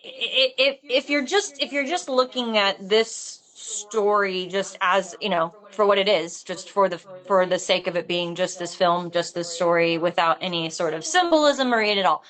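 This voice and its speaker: A woman talking casually in a panel discussion, thinking aloud in a halting, rambling way. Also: medium pitch, a bit bright, low-quality recording. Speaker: filmmaker discussing own script.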